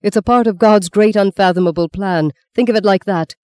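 A man voicing a stern, angry priest in an audiobook, forceful and commanding. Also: a little high, fast, close to an everyday voice. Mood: urgent. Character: angry commanding priest, fiercely scolding quarrelling men.

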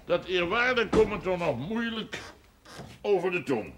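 An actor playing a boastful, middle-aged rich village farmer in a television period drama, hearty, blunt and earthy. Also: male voice, sits high, sing-song, unhurried. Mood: hearty, bossy. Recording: old TV soundtrack with background noise.

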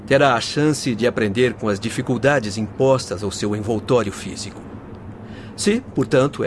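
Guy acting solemn young doctor spirit, brazilian, medium pitch, urging and firm, bit of background noise. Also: close to an everyday voice. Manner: solemn, exhorting. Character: earnest, firm young male doctor counselling in afterlife. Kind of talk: radio drama acting.

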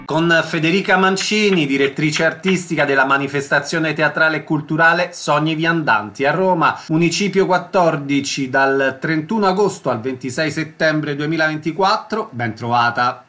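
A man's voice: on the high side, sharp tone, soft background music. Man hosting a radio arts interview. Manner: brisk, formal announcing. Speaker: radio host.

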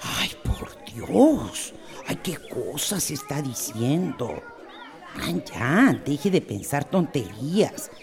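An actress playing a fussing, caring, grumbling companion in a radio soap opera, chiding and exclamatory with a Costa Rican accent. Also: female voice, deep voice, sing-song, slow, over music, full character voice.

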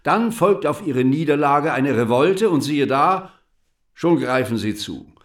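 An actor playing a bitter, patriotic French writer and deputy in a radio play, eloquent, rhetorical and ironic. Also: male voice, fairly high pitch, expressive, a little slow. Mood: bitter irony.

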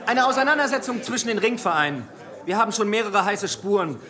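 Casting: composed, wry police inspector for radio drama, higher-set voice with very mobile melody. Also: male voice, expressive, fast, sharp tone, a faint crowd. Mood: firm, official. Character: male police inspector, dry-witted, self-assured, composed. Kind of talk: German radio drama acting.

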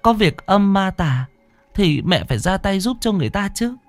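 A man voicing a grieving mother, a woman spirit medium, who pleads and stammers in a high voice. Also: very animated, moderate pace, dry recording, soft background music. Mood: pleading.

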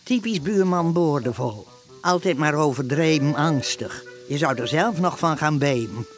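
A man's voice: fairly high pitch, animated, measured pace, soft background music. A man narrating a radio play in a storytelling style.

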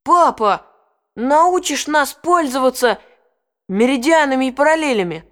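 An eager, pondering child with a high voice. The child reasons aloud and asks inquisitive questions in an educational audio drama. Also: female voice, expressive, a little slow, fairly bright tone. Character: thoughtful, eager child learning from father.

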